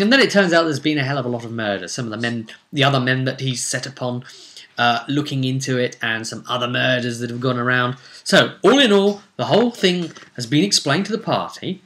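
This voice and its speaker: A man's voice: mid-range voice, bright, forceful tone. A man recounting a tabletop roleplay session as game master, storytelling and musing aloud with rhetorical questions. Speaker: game master recapping a campaign.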